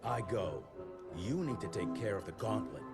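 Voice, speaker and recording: An actor playing a gruff, seasoned older cowboy fighter in a video game, with folksy, plain-spoken delivery. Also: male voice, lowish voice, animated, moderate pace. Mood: urging. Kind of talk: video game cutscene acting. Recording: loud music behind. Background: loud music.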